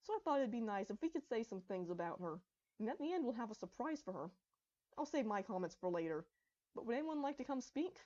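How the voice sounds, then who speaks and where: Woman voicing an excitable, high-pitched young pony princess. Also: fast talker, dull audio. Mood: polite, addressing guests. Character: cheerful, excitable young female pony princess, warm and eager. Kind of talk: acted dialogue in fan fiction storybook reading.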